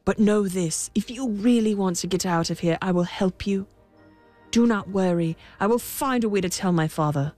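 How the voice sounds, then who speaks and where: A woman's voice: mid-range voice, normal speed, darkish tone, soft background music, natural voice. A woman voicing a kind young prince in a storybook, speaking earnestly and reassuringly. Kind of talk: storybook character voice.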